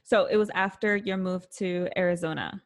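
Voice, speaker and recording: Woman host, casual interview, friendly and chatty, fills pauses with "um" and "like". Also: on the low side, fairly even intonation. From Puerto Rican family background. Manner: friendly, chatty, with frequent fillers.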